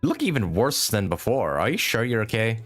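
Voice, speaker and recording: A man's voice: lowish voice, sing-song, brisk, fairly bright tone, faint music behind. A man giving casual live gameplay commentary as a streamer, informally reacting aloud to the story. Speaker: game streamer.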